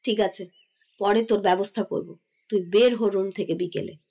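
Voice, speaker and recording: A woman's voice: mid-range voice, moderate pace, vocal fry, a little mellow. A woman playing a middle-aged mother in an audio drama, scolding yet indulgent, chiding and teasing with firmness. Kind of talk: Bengali audio drama acting.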